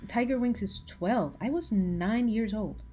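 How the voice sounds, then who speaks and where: A woman's voice: fairly high pitch, a little slow, dark tone, a little hiss or hum. A woman in her twenties chatting casually with her livestream viewers, teasing and full of filler words. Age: 20s. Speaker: animator streaming to chat.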